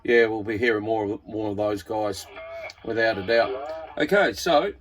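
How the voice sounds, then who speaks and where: A man's voice: lowish voice, moderate pace, rough recording. An Australian man presenting a casual hobbyist product walkthrough in a laid-back, informal, slightly hesitant way.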